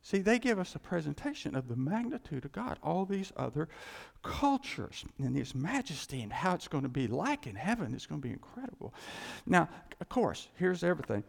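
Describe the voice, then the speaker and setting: Gravelly lively-voiced man preaching in a hall. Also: high voice. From United States. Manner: rambling, self-interrupting, chuckling storyteller. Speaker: pastor. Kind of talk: casual sermon with jokes. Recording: long hall reverb.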